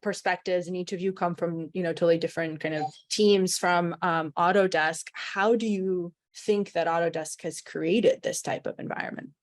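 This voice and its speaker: A woman's voice: on the low side, steady tone, normal speed, hardly any pauses, no room sound. A woman hosting a panel, speaking in a casual, chatty and appreciative way as she moderates.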